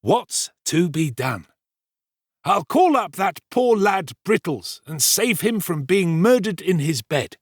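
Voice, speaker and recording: A man voicing a pompous, self-important male servant in a storybook reading, with drawn-out, emphatic delivery. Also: high-pitched, sing-song, no room sound, clean studio sound, voice pushed up, full character voice. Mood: dramatic, grave.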